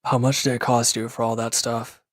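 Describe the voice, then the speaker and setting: A man acting a gruff, guarded former villain in a roleplay audio drama, curt and grumbling, reluctant to lean on anyone. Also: mid-range voice, little pitch movement, moderate pace, no echo, studio quality, natural voice. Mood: matter-of-fact, insistent. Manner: curt, grumbling, defensive, with sighs and hesitant breaks. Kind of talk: scripted roleplay audio drama addressed to the listener.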